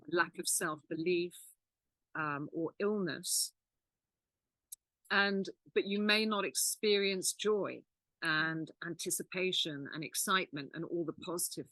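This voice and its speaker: A woman co-hosting a healing channel who explains things in a calm, reflective way. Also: low-pitched, no echo, low-quality recording. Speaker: healing course teacher and co-host. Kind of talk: teaching conversation.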